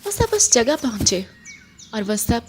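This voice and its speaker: A woman's voice: fairly high pitch, very animated, measured pace, a little mellow, a little hiss or hum. A woman narrating a scripted horror story in a suspenseful, dramatic manner.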